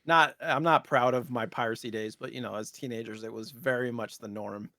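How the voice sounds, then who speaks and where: A man's voice: medium pitch, steady tone, a little fast, bright, forceful tone, no room sound. Casual American man, dry close sound. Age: 30s. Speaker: podcast host. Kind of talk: casual chat about retro gaming.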